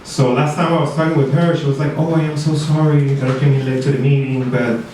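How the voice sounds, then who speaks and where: Comedian in a roomy club. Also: male voice, fairly high pitch, steady tone, quick pace, darkish tone, a little hiss or hum. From Venezuela. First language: Spanish. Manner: self-deprecating, rambling asides. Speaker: stand-up comedian. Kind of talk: stand-up comedy set. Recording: roomy club sound from far off. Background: audience laughter.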